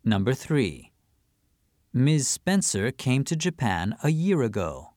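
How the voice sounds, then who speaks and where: Slow, deliberate man reading test items. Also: mid-range voice, very animated, a little mellow, dry recording, clean studio sound. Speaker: listening test reader.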